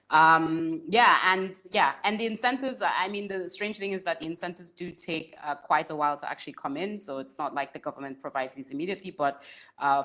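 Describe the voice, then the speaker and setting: A woman with a low voice explains her points on a webinar video call, often tagging them with "right?". She speaks unscripted through a webcam. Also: fairly bright tone. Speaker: webinar panelist. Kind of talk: unscripted expert answer.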